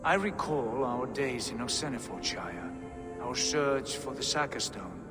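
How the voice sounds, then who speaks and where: An actor playing a proud, brooding Viking jarl in a video game, speaking in a solemn, formal way. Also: male voice, mid-range voice, animated, a little slow, natural voice. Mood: solemn reflection. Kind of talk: video game cutscene acting. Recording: long reverb under loud music.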